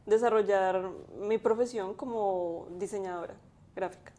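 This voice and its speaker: Woman in her twenties, mellow tone, reflective interview guest. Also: medium pitch, steady tone, unhurried. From Colombia. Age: 20s. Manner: thoughtful, with many fillers. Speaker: guest, graphic designer.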